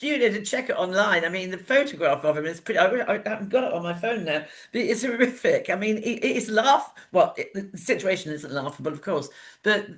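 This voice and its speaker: A woman's voice: medium pitch, a bit bright. A woman with a British accent giving her opinions in casual, chatty and emphatic talk.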